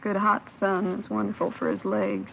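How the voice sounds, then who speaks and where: Creaky-voiced, chatty neighbor lady. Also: medium pitch, very animated, moderate pace. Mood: chatty, concerned. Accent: American. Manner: homely, talkative, sympathetic. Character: chatty, kindly, sympathetic female next-door neighbor. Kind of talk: 1950s radio crime drama acting. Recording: muffled, noisy vintage radio broadcast.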